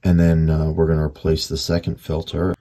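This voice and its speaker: A man giving casual hands-on repair commentary as a hobbyist, thinking aloud unhurriedly in short, halting phrases. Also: rumbling low, monotone, warm tone. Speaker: hobbyist radio repairer, video host. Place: indoor workbench.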